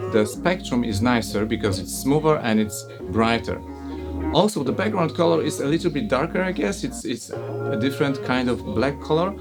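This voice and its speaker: Male reviewer, Polish accent, mid-range voice, relaxed explainer tone, music bed behind. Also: mid-range voice. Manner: relaxed, explanatory, with frequent "uh" and "you know". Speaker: audio plugin reviewer. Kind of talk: casual software review and demo.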